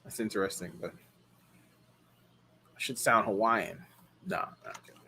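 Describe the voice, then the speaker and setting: A man's voice: on the low side, takes it slowly, creaky, bright, forceful tone, slight background noise. A man in his thirties from the United States, chatting casually with viewers and answering their questions, rambling with many fillers and restarts. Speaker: car rental host answering viewer questions. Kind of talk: casual live chat.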